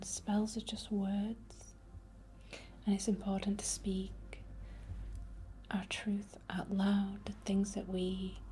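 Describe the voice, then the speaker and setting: Whispering Northern English woman, soft ASMR. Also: medium pitch, a little hiss or hum. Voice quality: breathy, unvoiced whisper. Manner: soft, unhurried, reassuring. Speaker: ASMR creator and witch. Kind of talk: whispered ASMR, gentle spiritual affirmations.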